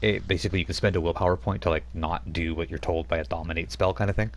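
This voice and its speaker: A man's voice: sits low, steady tone, quick pace. A man running a tabletop role-playing game as game master, narrating in a rambling way with many fillers.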